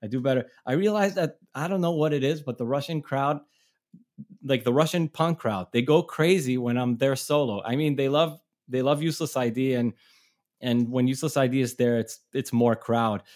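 A middle-aged man from Israel chats as a podcast guest. He tells long road stories in a loose, rambling way, restarting sentences and laughing along. His voice sits at an ordinary middle pitch. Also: moderate pace, darkish tone. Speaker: touring musician, guest. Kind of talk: casual storytelling.

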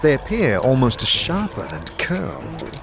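A man's voice: mid-range voice, huge pitch swings, a little slow, creaky. Male fantasy audio drama narrator. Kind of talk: scripted second-person fantasy narration. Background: music.

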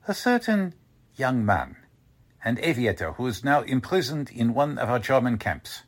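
An actor playing a courteous, cold German Nazi agent who makes veiled threats in a deliberate, suave way, in old-time radio drama style. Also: male voice, medium pitch, fairly bright tone, exaggerated voice.

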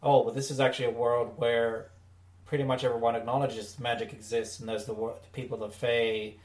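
A man's voice: medium pitch, flat delivery, fairly quick, a little mellow. A man co-hosting a podcast in casual, rambling, enthusiastic conversation. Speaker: podcast co-host. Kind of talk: casual discussion of audio dramas. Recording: echoey room, distant from mic.

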